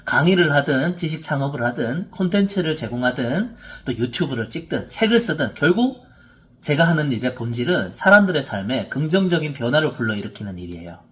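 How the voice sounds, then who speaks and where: Expressive man in his thirties, motivational seminar. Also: on the high side, fairly quick. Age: 30s. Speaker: motivational speaker, author and coach.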